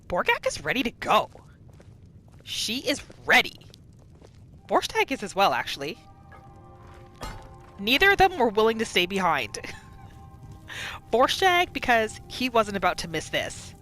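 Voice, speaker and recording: Expressive, lively woman doing game commentary. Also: medium pitch, slow pace, pauses often, faint music behind. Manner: expressive, chatty, narrating characters' thoughts in third person. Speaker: gaming channel host. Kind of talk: casual roleplay game commentary.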